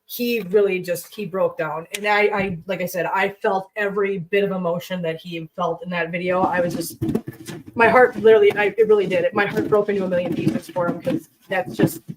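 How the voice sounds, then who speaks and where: A chatty woman at a middle pitch, cooking in her home kitchen and rambling to her viewers and her pets. She sounds congested. Her voice sits a little back from the mic. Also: distant mic, slight background noise, rough recording. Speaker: cooking livestream host. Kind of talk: casual chat while cooking.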